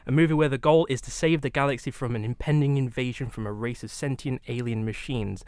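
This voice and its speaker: Male panellist, mid-pitched voice, dry sound, casual and halting. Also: fairly even intonation, darkish tone, clean tone, no room sound. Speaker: podcast panellist. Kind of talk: casual debate chat, pitching a film idea.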